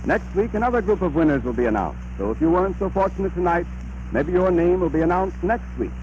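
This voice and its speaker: A man's voice: high voice, animated, fast talker, darkish tone. An American man reading scripted 1930s radio announcements in an emphatic, formal way. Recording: dull, noisy old radio recording with hum.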